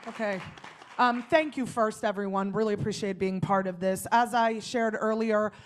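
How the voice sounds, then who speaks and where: A woman's voice: medium pitch, fairly even intonation, moderate pace, a little hiss or hum. A Greek American woman whose first language was Greek, giving a rousing closing statement as a congressional candidate at a forum.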